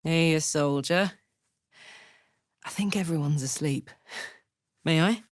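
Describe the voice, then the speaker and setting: A woman's voice: deep voice, takes it slowly, dry recording, clean studio sound. An actress playing a bold, hearty young female soldier in a video game, brash and slangy with a playful streak. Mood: thoughtful, resolute. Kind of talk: expressive video game voice acting.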